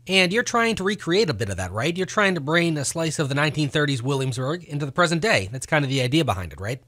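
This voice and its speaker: A man's voice: fairly high pitch, big pitch swings, fast, pushed and bright. A man hosting a casual radio interview in an enthusiastic, chatty way.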